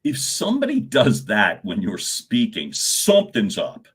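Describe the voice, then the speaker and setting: A middle-aged man with a loud voice, coaching on a live stream and swinging his pitch and volume as he talks to viewers. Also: a little slow, slightly rough. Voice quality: loud speaking voice. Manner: animated, swings pitch and volume, chuckles often.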